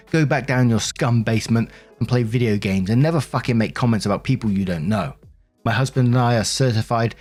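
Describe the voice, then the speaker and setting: A man's voice: medium pitch, a little mellow, lightly acted. A man reading out the posts of an indignant, bossy bride in a self-righteous, emphatic manner. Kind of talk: dramatic reading of social media posts.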